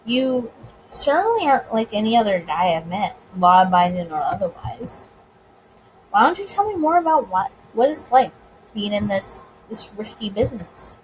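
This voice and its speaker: A woman's voice: mid-range voice, very animated, moderate pace, slight background noise, natural voice, poor audio. A woman voicing a wary, wry male detective in a visual novel, blunt but a little hesitant. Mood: awkwardly curious.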